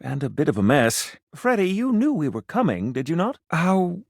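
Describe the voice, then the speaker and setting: A man's voice: on the high side, big pitch swings, normal speed, gravelly, no room sound, clean studio sound, heavily acted. A man voicing a pompous, flirtatious food critic in a video game, speaking in florid, theatrical phrases sprinkled with French. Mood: disdainful, critical. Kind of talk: scripted video game character dialogue.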